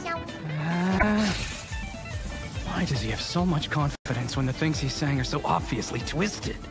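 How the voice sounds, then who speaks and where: High-voiced earnest young assistant. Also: male voice, big pitch swings, a little slow, music in the background. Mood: indignant. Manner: pleading, reproachful. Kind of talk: animated comedy dub acting.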